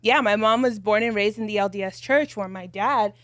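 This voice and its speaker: Woman podcast co-host, dry close sound, reflective measured storyteller, mid-pitched. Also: a bit bright, no echo. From United States. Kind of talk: casual podcast chat.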